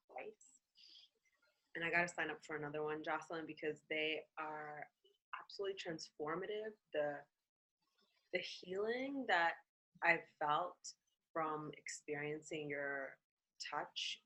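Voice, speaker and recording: A woman hosting an online summit interview, enthusiastic and filling pauses with ums. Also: sits low, bright, forceful tone, poor audio. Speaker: summit host. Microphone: video call.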